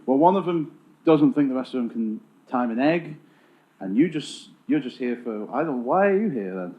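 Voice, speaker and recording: A man with a medium-pitched voice hosts an informal interview. He teases and fumbles in a cheeky, joking way. The audio is narrow and muffled, like a phone line. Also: lively intonation, normal speed. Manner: cheeky, teasing, bumbling. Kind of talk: unscripted joking band interview.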